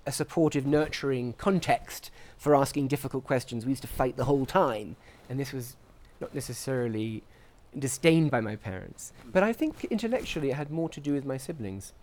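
A man giving reflective interview answers as a scientist, speaking in articulate, measured academic phrases. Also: medium pitch, animated, darkish tone. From grew up in Portugal, England and the US. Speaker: interviewee, scientist at a research institute.

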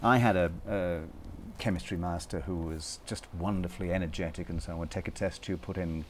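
Male interviewer. Fairly low voice, conversational, halting phrasing, faint noisy ambience behind him. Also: fairly even intonation. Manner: halting, rephrases questions midway.

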